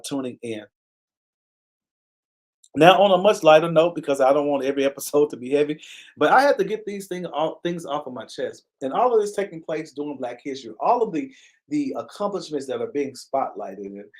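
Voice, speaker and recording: A man hosts a casual live online talk show with a middle-pitched voice. He speaks earnestly, repeating key phrases with a preacher-like cadence, and regularly stops to thank viewers by name. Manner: pauses to give viewers shout-outs. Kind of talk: casual live-stream commentary.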